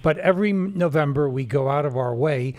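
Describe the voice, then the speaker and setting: A man's voice: fairly high pitch, brisk, a little mellow. A man hosting a talk broadcast, earnestly promoting an initiative with many fillers. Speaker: radio talk show host.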